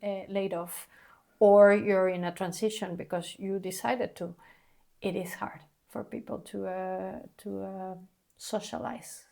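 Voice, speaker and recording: A woman from Mexico hosting a casual conversation, thoughtful, often trailing off into soft murmurs. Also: medium pitch, fairly even intonation, unhurried, vocal fry. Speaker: podcast host.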